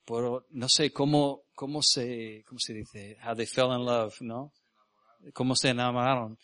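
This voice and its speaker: A man born in Venezuela who grew up speaking English, a missionary preaching in Spanish with an American English accent, often halting to search for words and asking the congregation questions. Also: medium pitch, fairly even intonation, a bit bright, no echo. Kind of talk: sermon with personal stories. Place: church.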